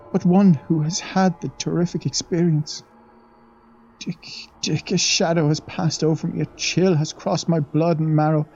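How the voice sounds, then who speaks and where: A man's voice: on the high side, warm tone, voice pushed up. A man as a shaken young man recounting a ghost. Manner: earnest, solemn, dramatic. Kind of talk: audiobook reading. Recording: dull lo-fi dry recording.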